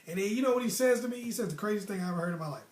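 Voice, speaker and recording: A young American man with a noticeably high voice tells stories in a casual, slangy way. He leans emphatically on his points as he hands out motivational advice. The sound is roomy, with clear echo around the voice. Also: animated, very fast. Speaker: storytelling host.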